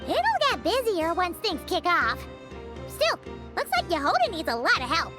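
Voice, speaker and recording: A woman's voice: very high voice, huge pitch swings, moderate pace, music in the background, exaggerated voice. A woman voicing a small, childlike, chirpy and opinionated fairy companion in a video game. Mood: upbeat.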